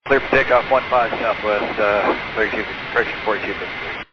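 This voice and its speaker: A man, an airline pilot, making clipped, low-key readbacks to air traffic control over aviation radio. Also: fairly even intonation, fairly quick. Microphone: cockpit radio transmission. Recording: muffled cockpit radio with clear noise.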